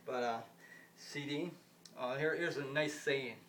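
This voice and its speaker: A man's voice: medium pitch, a little slow, fairly bright tone. Rambling man in his fifties, distant mic. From United States. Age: 50s. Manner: repetitive, restarts and circles back on points. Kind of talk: rambling solo monologue. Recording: distant mic with faint background noise.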